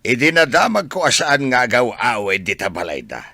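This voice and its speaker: A husky, blunt older father. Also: medium pitch, sing-song, moderate pace, bright, forceful tone, no echo, heavily acted. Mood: blunt, indignant. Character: blunt, protective older father of wronged daughter. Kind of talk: radio drama acting.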